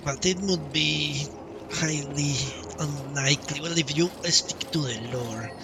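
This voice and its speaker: A man's voice: on the high side, a little slow, vocal fry, noisy background. A man musing aloud as he gives live commentary on a video game playthrough. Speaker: game streamer. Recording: distant-sounding mic, game music behind. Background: video game music.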